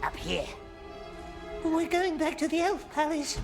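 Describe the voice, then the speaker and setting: Wretched, whispering, hissing creature, wheedling, drawn-out delivery; fan audio drama. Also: female voice, sits high, sing-song, creaky, music in the background, full character voice. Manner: hissing whispers, talks of himself as we. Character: Gollum, wretched hissing creature, cringing and sly.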